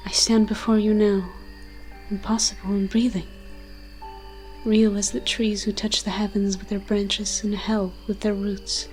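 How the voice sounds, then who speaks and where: A woman's voice: mid-range voice, a little mellow, lightly acted. A woman voicing an ancient, moss-covered forest demon in an audio roleplay, tender and protective, speaking in solemn, poetic, archaic storytelling phrases. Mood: solemn, mysterious.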